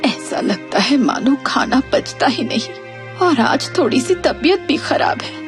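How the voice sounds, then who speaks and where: A woman voicing a frail, meek, sick elderly mother in a cartoon, with a gentle plaintive manner. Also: high-pitched, lively intonation, measured pace, soft background music. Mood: weak, pleading. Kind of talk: Hindi moral-story cartoon dubbing.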